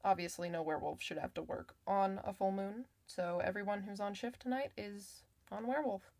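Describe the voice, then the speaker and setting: A woman playing a kind clinician in an ASMR medical roleplay, soft-spoken, reassuring and chatty with the patient. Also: medium pitch, steady tone, moderate pace, natural voice. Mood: calm, reassuring.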